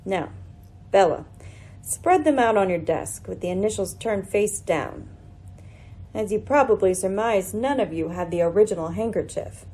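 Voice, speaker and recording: A woman acting a shrewd, commanding lady detective in a radio mystery, speaking in a measured, formal, theatrical way. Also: medium pitch, animated, warm tone, close to an everyday voice. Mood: triumphant, stern.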